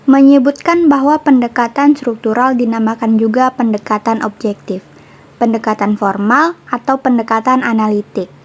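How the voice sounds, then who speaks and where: A woman's voice: fairly high pitch, a little mellow. A woman, reading a prepared lesson on literary analysis aloud and stopping to read out each citation. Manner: reads citations aloud steadily. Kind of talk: reading a lecture script.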